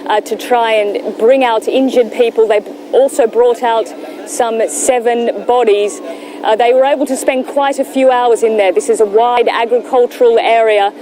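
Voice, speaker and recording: Higher-voiced woman reporting over street noise. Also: fairly even intonation, moderate pace. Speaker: news correspondent reporting live. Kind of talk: live news report. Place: on location in Gaza.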